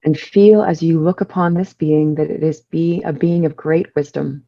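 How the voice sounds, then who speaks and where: A woman leading a guided meditation, giving soothing instructions in measured short phrases with pauses between them. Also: low-pitched, steady tone, creaky, warm tone, muffled recording.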